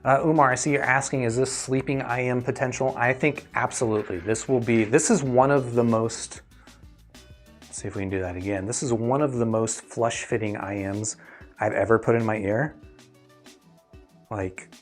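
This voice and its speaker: Rambling man livestreaming over music. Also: mid-range voice. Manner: rambling, halting, thinking aloud. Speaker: host, audio gear reviewer. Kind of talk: casual livestream chat with viewers.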